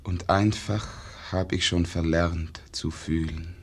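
An actor playing a melancholy, grief-numbed painter's pupil, declaiming elegiac verse in a 1950s radio drama. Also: male voice, deep voice, fairly even intonation, slow pace, husky, full character voice. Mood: anguished.

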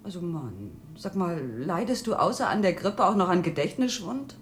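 An actress playing a breezy, teasing girlfriend in a radio drama, chatty and expressive. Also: fairly low pitch, normal speed, a little hiss or hum. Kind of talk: German radio drama acting.

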